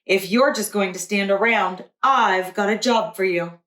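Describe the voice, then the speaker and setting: A woman voicing a brisk, jokey storybook grandmother with a cheerfully bossy manner. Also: medium pitch, fairly bright tone, close to an everyday voice. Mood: jokingly bossy. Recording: roomy echo.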